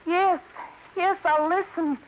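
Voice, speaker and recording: A haunted, fatalistic young woman in vintage radio melodrama. Her voice is very high, with intense, deliberate phrasing. The old recording sounds dull, with faint noise. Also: fairly even intonation. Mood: anguished. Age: young adult. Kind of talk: 1950s American radio melodrama acting.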